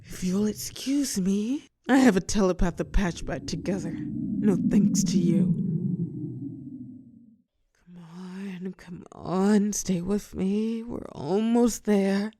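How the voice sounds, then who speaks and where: A woman playing a telepathic villain and former hero in a roleplay audio drama, protective and sharp-tongued, with a breathy voice that often drops to a whisper. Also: mid-range voice, slight background noise, close to an everyday voice. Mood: angry, scornful. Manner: slow, commanding yet tender coaching.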